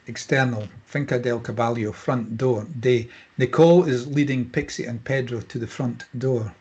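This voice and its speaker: A man serves as narrator at a screenplay table read. He delivers scene headings and stage directions as scripted narration. His voice is husky and mid-pitched. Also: fairly even intonation, moderate pace, a little mellow, muffled recording.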